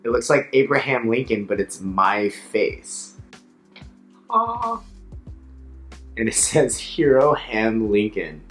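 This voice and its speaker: A young man with a hoarse, slightly raspy voice, an upbeat yoga teacher chatting playfully in a casual vlog. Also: medium pitch, sing-song, takes it slowly, pauses often, a bit bright, roomy sound, faint music behind. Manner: upbeat, playful, self-mocking.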